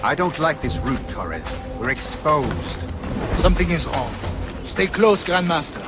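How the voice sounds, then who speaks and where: Wary guard, fairly high, animated pitch, video game drama, loud music. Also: male voice, measured pace. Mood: uneasy, urgent. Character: wary, tense male Templar bodyguard.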